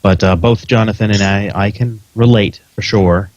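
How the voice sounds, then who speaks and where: A man's voice: fairly low pitch, fairly even intonation, moderate pace, a little mellow, no echo, highs cut off. A middle-aged American man chatting casually as a podcast host before the show. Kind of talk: casual pre-show chat.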